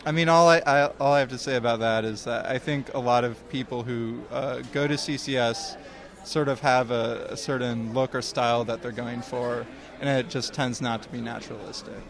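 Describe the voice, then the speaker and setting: Young male guest, mid-pitched voice, halting and unsure answers, outdoor interview. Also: steady tone, a bit bright. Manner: hesitant, halting, many ums. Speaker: guest, comics student. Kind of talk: casual interview.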